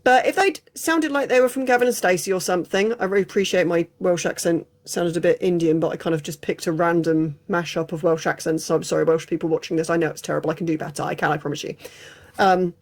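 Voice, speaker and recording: A woman's voice: fairly low pitch, fast. An English woman chatting casually through an unscripted film review, frank and prone to digressions. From England. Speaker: film reviewer, channel host.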